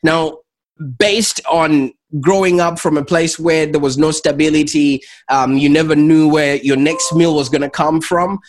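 Zimbabwean man with a slightly high voice. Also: steady tone, measured pace. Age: adult, 28 or older. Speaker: interview guest, entrepreneur and coach. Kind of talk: conversational personal storytelling.

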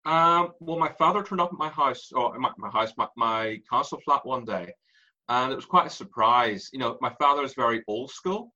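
Bright, husky Irish man telling stories. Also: medium pitch, normal speed, dull audio. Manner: animated, laughs through stories. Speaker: guest. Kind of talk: candid interview storytelling.

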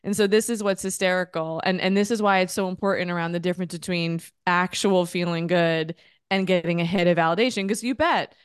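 Earnest woman podcast host, clear voice. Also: on the low side, brisk, dry recording, studio quality. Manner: earnest, animated, talks in long runs. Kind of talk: casual podcast chat.

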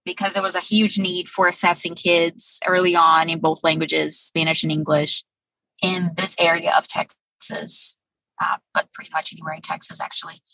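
Woman guest in her thirties, bright husky tone, dry close sound, measured answers with fillers. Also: fairly low pitch, flat delivery, dry recording, low-quality recording. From Brazil. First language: Portuguese. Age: 30s. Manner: thoughtful, many um pauses. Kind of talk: interview answers.

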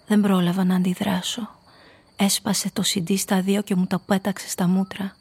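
A woman's voice: medium pitch, little pitch movement, darkish tone, breathy edge. An actress playing a frightened female blackmailer who tells her own story intimately in a scripted radio play. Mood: tense, measured. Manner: intimate, confessional first-person storytelling.

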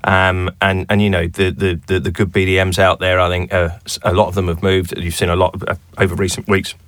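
A man's voice: low voice, little pitch movement, brisk, a bit bright, no echo. A man guesting on a business podcast, speaking with many restarts and repeated words. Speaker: guest, bank sales director. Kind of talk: podcast interview.

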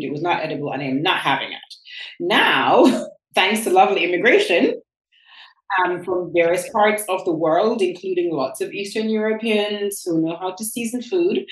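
A woman with a slightly low voice gives her views firmly and with emphasis, and sometimes sounds amused. The recording is roomy and echoey. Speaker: guest. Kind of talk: opinionated conversation. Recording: roomy echo.

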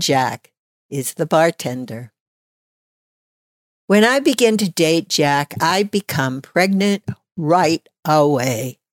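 A woman's voice: low voice, no echo. An elderly woman, in her eighties, reads a written memoir aloud. She is recorded very dry, with hardly any room sound around her voice. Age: 80s. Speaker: storyteller. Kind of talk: reading a written personal memoir.

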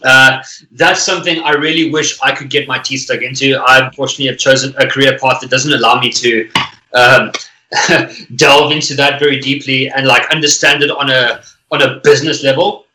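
A man with a bright, forceful voice, hosting a relaxed conversation. He muses aloud, leaning on "I mean" and "like" as he works through his thoughts. His voice rings in an echoey room. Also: medium pitch, fairly quick. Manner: musing, filler-heavy "I mean", "like". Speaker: podcast host. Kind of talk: casual chat.

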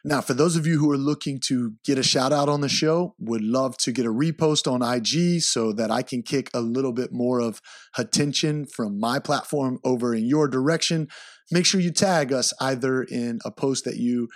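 A man hosting his podcast, giving upbeat, hyped shout-outs to listeners. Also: mid-range voice, moderate pace, dry recording, clean studio sound. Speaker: podcast host. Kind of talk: solo podcast intro.

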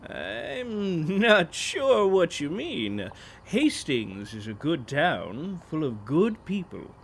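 An actor playing an older, folksy and evasive small-town mayor in an audio drama, with an avuncular, hesitant manner. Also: male voice, on the high side, very animated, takes it slowly, slight background noise, exaggerated voice. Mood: uneasy.

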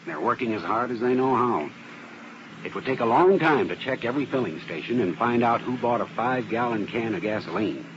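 An actor playing a methodical fire investigation specialist in a vintage radio drama, matter-of-fact and explanatory. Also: male voice, medium pitch, animated, a little fast, natural voice. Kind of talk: 1950s radio drama acting. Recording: muffled, hissy vintage radio broadcast.

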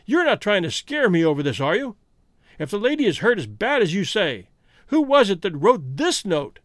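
A man's voice: sits high, sing-song, normal speed, dry recording, clean studio sound. A man voicing a fierce, lovesick American criminal in an audiobook story, with a bitter, blustering manner. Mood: suspicious.

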